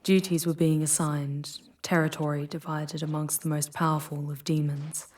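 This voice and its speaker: A woman voicing an ancient, proud, wounded female demon who guides a traveler through hell in an ASMR roleplay, speaking softly and formally in a breathy, solemn, deliberate way. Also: sits low, flat delivery. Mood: grave, recounting. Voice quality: soft, breathy, husky.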